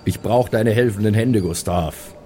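Man playing a low, mellow, formal male cleric. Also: unhurried, soft background music, close to an everyday voice. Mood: grave. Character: composed, formally spoken male fantasy cleric. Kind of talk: tabletop role-play acting.

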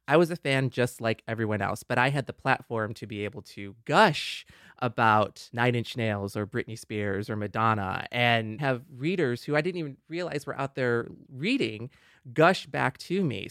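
A man's voice: mid-range voice, clean tone, no echo, studio quality. A man co-hosting a podcast in an earnest, wordy, conversational way. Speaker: podcast co-host, former blogger.

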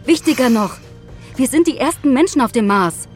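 A woman's voice: high-pitched, animated, normal speed, a little mellow, faint music behind. An actress playing a resolute woman astronaut in an audio drama, speaking in declarative, speech-like phrases. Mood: proud, insistent.

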